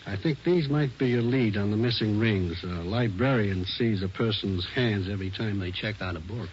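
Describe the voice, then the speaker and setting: An actor playing a methodical police lab technician in a 1940s radio drama, speaking in terse, matter-of-fact lines. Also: male voice, on the low side, normal speed, lightly acted. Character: methodical male crime lab technician, businesslike. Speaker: supporting actor. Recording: muffled, noisy old radio recording.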